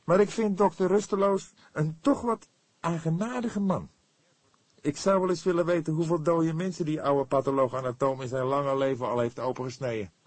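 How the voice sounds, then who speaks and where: A man's voice: on the high side, steady tone, moderate pace, darkish tone, dry recording, pitched above the performer's own voice, close to an everyday voice. A man voicing an eager young male police detective in an audiobook, hesitant at times, protesting and emphatic. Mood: amused, curious.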